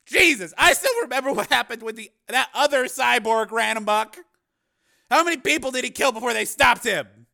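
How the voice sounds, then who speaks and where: A man's voice: very high voice, normal speed, gravelly, bright, forceful tone, pitched above the performer's own voice, heavily acted. A man voicing a nervous, exasperated and sarcastic male TV cameraman in an audiobook. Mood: sarcastic, agitated. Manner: agitated, protesting, sarcastic. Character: nervous, exasperated, sardonic young-sounding male TV news cameraman. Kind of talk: acted audiobook dialogue.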